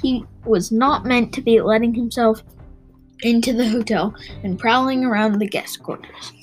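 Higher-pitched female storyteller over music. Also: steady tone, moderate pace, poor audio. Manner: stumbles and rereads phrases. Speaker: reader and host of a read-aloud show. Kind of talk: reading a children's novel aloud.

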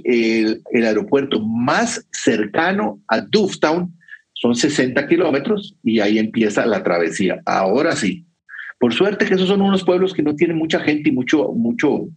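A man from Costa Rica with a Costa Rican accent and a slightly rough, husky voice at a medium pitch. He talks conversationally, like a guest sharing travel advice, and peppers his storytelling with short tag questions. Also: low-quality recording.

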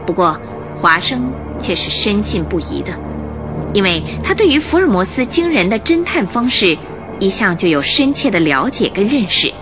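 Lady narrator on old radio drama, suspenseful storytelling, really expressive, music underneath. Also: mid-range voice, moderate pace.

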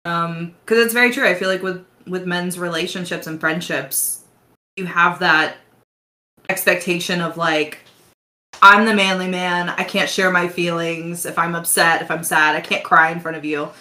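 A woman's voice: fairly low pitch, fairly even intonation, normal speed, sharp tone. Brief Earnest woman in an echoey room. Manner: agreeable, earnest, hesitant restarts. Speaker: podcast guest. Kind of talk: casual chat.

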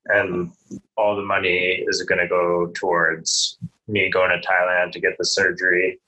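A young man being interviewed as a podcast guest, answering in a plain, matter-of-fact way with short pauses. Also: low-pitched, completely flat delivery, pushed and bright, rough recording. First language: Polish.

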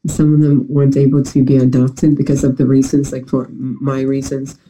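Mellow, nasal man with New York-like accent. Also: mid-range voice, monotone, fairly quick, poor audio. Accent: New York-like American. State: congested from a recent illness. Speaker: interview guest. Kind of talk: personal storytelling in an interview.

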